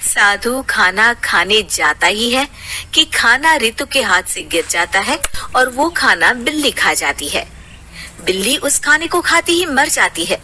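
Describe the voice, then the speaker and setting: A woman narrating a scripted cartoon moral story in an expressive, dramatic storytelling manner. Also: a little high, unhurried, a little hiss or hum.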